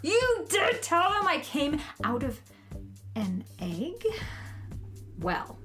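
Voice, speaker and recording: A woman's voice: high voice, wildly swooping pitch, extremely slow, over loud music, exaggerated voice. A woman playing a bratty, touchy young assistant with taunting, theatrical delivery in children's radio comedy. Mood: indignant.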